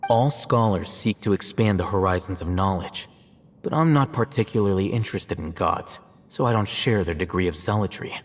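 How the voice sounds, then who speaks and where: An actor playing a calm, measured male academy scribe who explains things in a scholarly way in a video game. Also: deep voice. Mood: reasoned. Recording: long reverb with game music.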